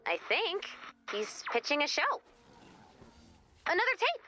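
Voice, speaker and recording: A woman playing an eager, soft-hearted young guide in a video game, chatty and expressive in a conversational way. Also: very high-pitched, unhurried, slight background noise, full character voice. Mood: curious, casual.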